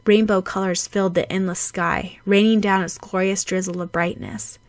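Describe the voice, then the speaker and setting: A woman narrating fan fiction as an audiobook, with a dramatic, animated delivery. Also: medium pitch, normal speed, dry recording.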